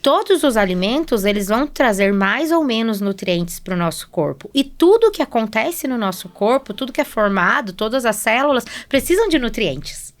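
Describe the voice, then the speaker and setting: A woman's voice: a little high, animated, normal speed, hardly any pauses. A woman with a Brazilian accent speaking as a guest nutritionist, explaining conversationally with frequent tag questions. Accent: Brazilian Portuguese.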